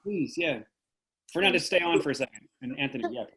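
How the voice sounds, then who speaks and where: A man facilitating an audience discussion over a video call, speaking in a warm, affirming way. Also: on the high side, expressive, fairly quick, slightly rough. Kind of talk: moderating a discussion.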